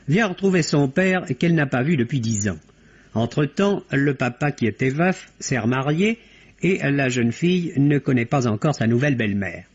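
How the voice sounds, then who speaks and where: Man, film critic, scripted review, articulate, rough-edged voice, muffled vintage broadcast sound. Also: mid-range voice, darkish tone. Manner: articulate, formal, literary phrasing. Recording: dull, band-limited vintage radio recording.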